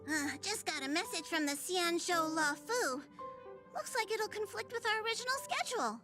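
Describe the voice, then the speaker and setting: A woman voicing a small, fretful, squeaky mascot creature in a video game. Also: animated, normal speed, over music.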